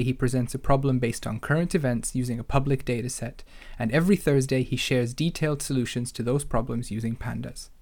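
A man's voice: medium pitch, darkish tone. A man speaking as a podcast host and interviewer, in a conversational style, feeling his way through his phrasing.

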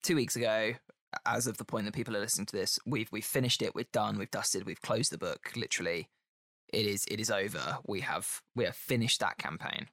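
A man with a fairly low but very bright voice, recorded close and dry. He sounds slightly croaky and under the weather. He chats in a friendly, casual way as a podcast host. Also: fairly quick, dry recording. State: unwell, croaky voice.

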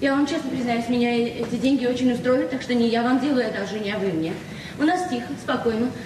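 A woman's voice: on the high side, quick pace, lightly acted. An actress in a radio play as a naive, talkative librarian in her forties, speaking hesitantly and repeating herself. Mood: eager, friendly. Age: 40s. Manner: flustered, hesitant, chatty, repeating words. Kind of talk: naturalistic Soviet radio drama acting. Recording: echoey, distant, noisy 1970s radio recording.

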